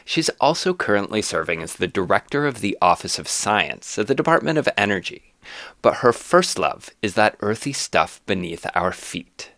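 A man's voice: fairly low pitch, sing-song, normal speed, a bit bright, no room sound, clean studio sound. A man with an American accent hosting a science podcast, moving between scripted narration and interview questions. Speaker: podcast host.

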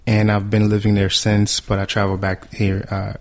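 A young man from Haiti, a guest on a podcast, answering in a relaxed, humble, reflective way. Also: on the low side, moderate pace. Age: young adult. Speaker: guest, entrepreneur. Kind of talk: interview answers.

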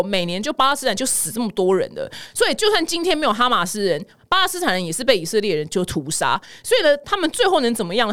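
A woman with a Taiwanese Mandarin accent giving casual, chatty news commentary as a podcast host, acting out the people she quotes. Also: a little high. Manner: chatty, animated retelling with acted-out quotes.

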